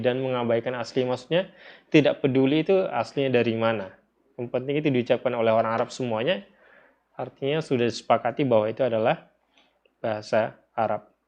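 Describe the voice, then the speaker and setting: Indonesian guy teaching a religion class, mid pitched voice, explains and repeats stuff, kinda muffled lofi audio. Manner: explanatory, repeats phrases and poses questions to listeners. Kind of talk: informal lecture explaining a text. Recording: dull, lo-fi audio.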